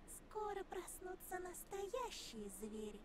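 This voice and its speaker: A teasing high-voiced fox-mask girl. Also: big pitch swings, unhurried, a crowd in the background, exaggerated voice. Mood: sly, mysterious. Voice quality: velvety, melodic. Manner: mocking, playful, languid. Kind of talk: Russian visual novel voice acting.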